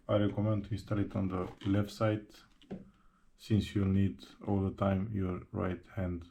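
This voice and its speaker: A man narrating a hands-on how-to tutorial step by step, speaking haltingly in short broken phrases. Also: sits low, monotone, warm tone, muffled recording, slight background noise. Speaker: presenter of a how-to installation tutorial.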